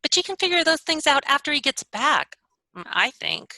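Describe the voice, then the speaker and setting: A woman playing a concerned, chatty young 1940s best friend, expressive and full of advice, in a play reading over a video call. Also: high voice, normal speed, no echo, full character voice. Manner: expressive, advising.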